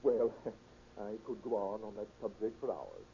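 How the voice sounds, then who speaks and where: An actor playing a pompous, moralizing reform school superintendent in a 1930s radio drama, preachy and long-winded. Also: male voice, fairly low pitch, very animated, normal speed, creaky, mellow. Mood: genial. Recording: dull, noisy 1930s radio recording.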